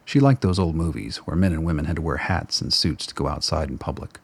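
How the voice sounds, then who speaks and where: Male drama narrator: very low, rough-edged voice, scripted storytelling, dry recording. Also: brisk, no room sound.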